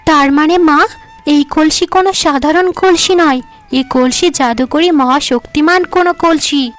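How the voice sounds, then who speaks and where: A woman voicing a gentle, devoted young village daughter-in-law in a cartoon, earnest and emotional. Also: sits high, unhurried, faint music behind. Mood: excited.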